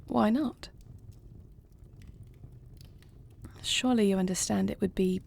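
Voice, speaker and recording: A woman playing an affectionate, dutiful queen in an intimate fantasy audio roleplay, speaking softly and formally with measured, murmuring diction. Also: mid-range voice, creaky, slightly rough. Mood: gently insistent. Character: affectionate, dutiful dragon queen, newly wed to a princess.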